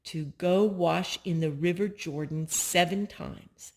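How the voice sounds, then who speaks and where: A woman voicing a commanding male prophet in a children's storybook, giving instructions in a measured way. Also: lowish voice, voice pushed down.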